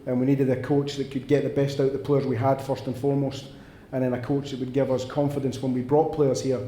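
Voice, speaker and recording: A man's voice: mid-range voice, level tone, fast, dark tone, roomy sound, a little hiss or hum. A man with a Scottish accent, answering fans' questions on a panel in an earnest, emphatic, long-winded way. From Scotland. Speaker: football director on a panel. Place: indoor event room.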